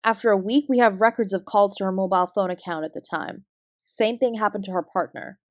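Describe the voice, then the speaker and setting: A woman with a Canadian accent playing a guarded, weary fraud investigator, giving a clipped, measured account in an audio drama. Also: mid-range voice, dry recording, studio quality, lightly acted. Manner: measured, clipped report-like delivery. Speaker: investigator being interviewed.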